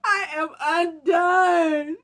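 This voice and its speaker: A woman voicing a grumpy, dramatic male bear from a storybook, blubbering in a drawn-out, theatrical way. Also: extremely high pitch, steady tone, a bit bright, pitched above the performer's own voice, full character voice. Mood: wailing defeat. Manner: wailing, theatrical, drawn-out delivery.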